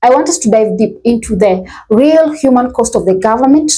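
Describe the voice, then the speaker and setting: A woman host delivering a scripted commentary intro, with halting delivery and repeated phrases. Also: a little high, expressive, warm tone, slightly rough.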